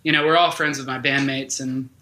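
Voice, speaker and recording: A man in his late twenties with a bright, forward voice. He tells stories casually and informally, as a band singer being interviewed, at a medium pitch. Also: level tone, a little fast, a little hiss or hum. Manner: colloquial, informal, frequent "like" and "dude". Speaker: guest, band vocalist.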